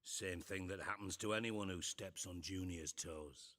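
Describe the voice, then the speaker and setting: A man's voice: low-pitched, a little slow, fairly bright tone. An actor playing a scheming, sardonic male spymaster in a game cutscene, delivering dry, menacing lines.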